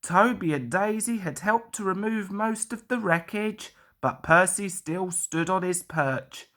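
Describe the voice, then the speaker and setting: A woman's voice: mid-range voice, measured pace, fairly bright tone. A woman reading a children's story as a scripted narration.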